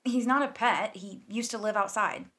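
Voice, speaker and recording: Woman acting female massage therapist, prickly, sardonic, bright forceful tone, naturalistic audio drama. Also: mid-range voice, normal speed, natural voice. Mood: evasive. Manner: clipped deflections, sarcastic when riled.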